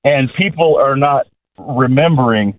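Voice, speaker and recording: Loud guy calling the radio show, pushy, tinny phone line. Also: medium pitch, measured pace, no echo. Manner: loud, emphatic. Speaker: caller. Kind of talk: call-in radio.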